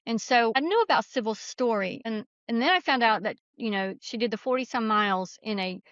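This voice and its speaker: A woman's voice: on the high side, fairly quick, fairly bright tone, no room sound. A woman interview guest telling stories conversationally in an emphatic, folksy, plain-spoken way, on a slightly muffled recording. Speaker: guest author. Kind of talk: conversational storytelling.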